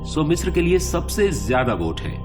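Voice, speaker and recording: A lively, dramatic quiz show host. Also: male voice, a little high, moderate pace. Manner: theatrical, suspense-building announcing. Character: suave adult male quiz show host, dramatic and encouraging. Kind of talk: radio play quiz show presenting. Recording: music bed under the speech. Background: music.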